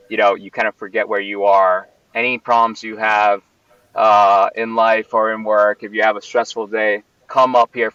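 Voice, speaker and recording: Rambling flat-voiced man, distant lo-fi mic. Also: on the low side, dry recording. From Puerto Rico. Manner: rambling, many fillers and restarts. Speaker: venue owner and founder. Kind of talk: casual interview answers.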